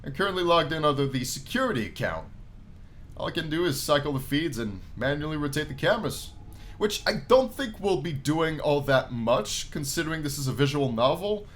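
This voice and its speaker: A man giving expressive let's play commentary, reading visual novel text aloud and adding his own drawn-out, enthusiastic asides. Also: fairly high pitch. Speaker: gaming YouTuber. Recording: noticeable background noise.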